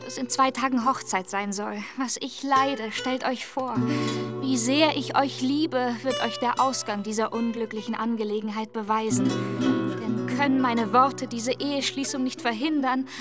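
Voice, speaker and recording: A woman's voice: a little high, fairly even intonation, moderate pace, over loud music, lightly acted. An actress playing a despairing young noblewoman. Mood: desperate. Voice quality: earnest, formal and sorrowful delivery. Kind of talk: radio play acting.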